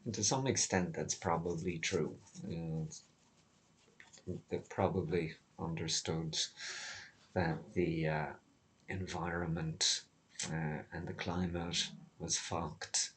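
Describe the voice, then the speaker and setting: A man with a hoarse, breaking voice giving a rambling personal monologue in a morose, sardonic, halting way. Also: low-pitched, steady tone, fairly bright tone, poor audio. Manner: morose, weary, sardonic gloom; halting, with long pauses. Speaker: vlogger.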